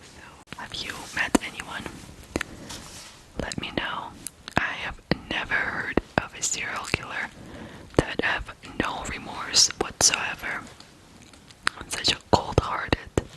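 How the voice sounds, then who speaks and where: An ASMR host from Ukraine telling true crime stories in a breathy whisper, in deliberate phrases with pauses. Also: low-quality recording.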